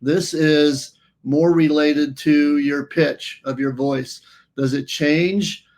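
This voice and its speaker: A man's voice: fairly high pitch, fairly even intonation, takes it slowly, a little mellow. A man presenting a walkthrough of a wellness app, explaining step by step and often restarting phrases. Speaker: company presenter.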